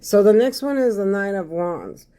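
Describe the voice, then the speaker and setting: A hoarse man giving a casual tarot reading to his viewers, repeating phrases and often checking in with "okay?". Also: high-pitched, animated, moderate pace, dark tone. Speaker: tarot card reader.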